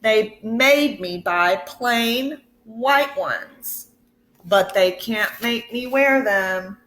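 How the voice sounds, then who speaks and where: Lady teacher doing storytime for kids, kinda high voice, really slow and expressive. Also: slight background noise. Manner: expressive, deliberate, pauses to ask listeners questions. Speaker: teacher reading a picture book to children. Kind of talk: storybook read-aloud.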